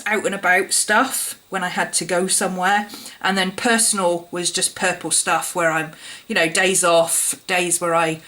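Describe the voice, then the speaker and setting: Woman, husky, hoarse from a cold, bright, casual explainer. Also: lowish voice. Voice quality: slightly croaky, husky. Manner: chatty, explaining step by step. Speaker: planner YouTuber. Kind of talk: casual show-and-tell vlog.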